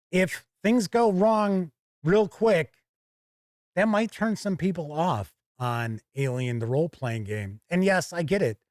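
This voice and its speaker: A man hosting a conversational video review, speaking in a measured, frank way with emphatic phrasing. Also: fairly high pitch, no echo, studio quality. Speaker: host of a tabletop game review channel.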